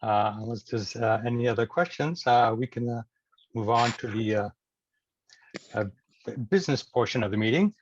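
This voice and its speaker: A man moderating an online meeting informally, with a hesitant, halting delivery. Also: mid-range voice, animated, a little hiss or hum. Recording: dull lo-fi video call audio.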